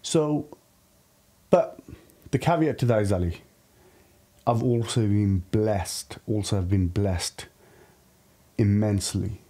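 A man's voice: fairly low pitch, slow pace, dark tone. A man in his early thirties from Pakistan, talking casually and candidly as a podcast guest in a reflective, informal way. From Lahore, Pakistan. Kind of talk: casual personal storytelling. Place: podcast studio. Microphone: studio podcast microphone.

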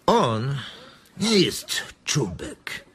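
An actor playing a weary, protective and stern farm labourer in a radio drama, plain-spoken and firm. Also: male voice, medium pitch, sing-song, drawn-out words, a bit bright, breathy edge, heavily acted. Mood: weary, reflective.

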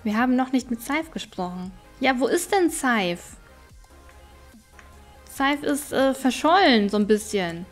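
Casting: woman, fairly high voice, chatty gaming host thinking aloud over soft background music. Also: animated, unhurried. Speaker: gaming streamer and let's play host. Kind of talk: casual let's play commentary.

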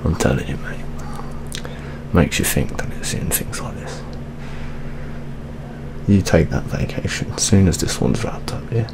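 A young man with a British accent playing a weary, fatherly police detective in a hushed, partly whispered ASMR roleplay. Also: deep voice, lively intonation, a little slow, creaky, long pauses, background noise, heavily acted. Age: young adult.

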